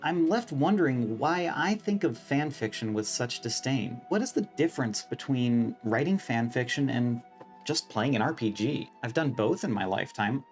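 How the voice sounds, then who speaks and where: A video essayist of mid pitch narrates over soft music. The delivery is scripted but rambles into casual, self-deprecating asides, with some casual swearing.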